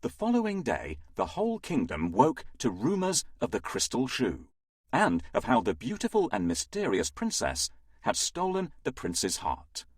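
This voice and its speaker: A man reading a scripted children's fairytale as an expressive, dramatic storyteller. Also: mid-range voice, normal speed, breathy edge, dry recording, faint music behind.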